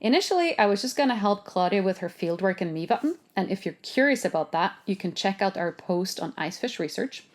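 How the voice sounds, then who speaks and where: A woman host reads an upbeat scripted introduction. She slips in playful little asides. Her voice sits at an ordinary middle pitch. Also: very animated, a little fast, hardly any pauses. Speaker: podcast host. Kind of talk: scripted narration.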